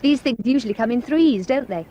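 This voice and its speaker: Susan, a cheerful young wife. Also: female voice, a little high, expressive, fairly quick, dark tone, dull audio. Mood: light. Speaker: actor in radio drama.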